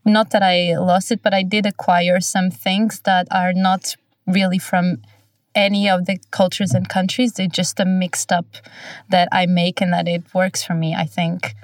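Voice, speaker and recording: A woman's voice: on the low side, little pitch movement, measured pace, dry recording, clean studio sound, distant mic. Woman from Portugal hosting a podcast. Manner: hesitant, friendly, many fillers. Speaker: podcast host. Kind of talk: casual chat. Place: studio.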